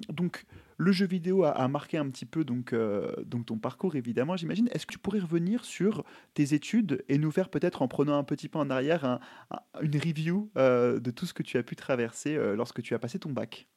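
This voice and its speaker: A man's voice: on the high side, a little fast, a little mellow. A man hosting a livestreamed interview, speaking with enthusiasm and many hesitations. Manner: enthusiastic, many fillers and restarts. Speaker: host.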